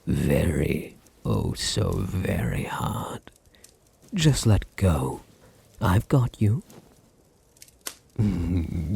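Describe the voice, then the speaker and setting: A British man voicing a possessive, seductive vampire noble in an intimate ASMR roleplay, teasing and soothing, often dropping into a breathy whisper. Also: on the low side, dark tone, exaggerated voice. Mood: hypnotic, seductive. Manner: slow, soothing, teasing, condescendingly tender. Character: dominant, possessive, seductive male vampire noble doting on his servant.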